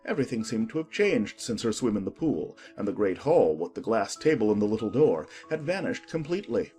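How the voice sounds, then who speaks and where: A man's voice: fairly low pitch, sing-song, fairly quick, dark tone, faint music behind. A man reading scripted storybook narration as the narrator of an audiobook.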